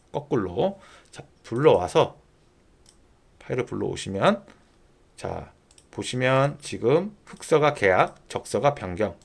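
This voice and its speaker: A man narrating a software tutorial step by step in informal polite speech, often posing a question and then answering it himself. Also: medium pitch, vocal fry. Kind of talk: screen-recording tutorial narration, explaining step by step.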